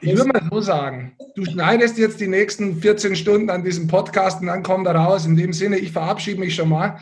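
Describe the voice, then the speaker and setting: A man's voice: high voice, brisk, soft background music. A man hosting a sports podcast, chatting casually with a teasing, sarcastic manner. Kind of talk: casual bantering podcast chat.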